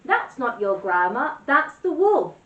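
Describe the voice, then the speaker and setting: An eager young girl who wails and pleads, as a woman narrator puts her on, pitched up and lively. The storybook reading sounds distant, roomy and a bit muffled. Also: moderate pace. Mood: excited. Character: young girl, a would-be bad sister, pleading.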